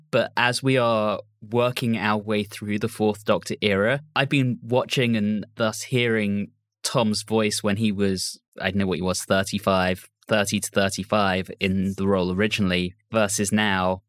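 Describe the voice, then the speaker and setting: Male podcast co-host, lower register, dry close sound, conversational. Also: a bit bright, no echo. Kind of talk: casual podcast discussion.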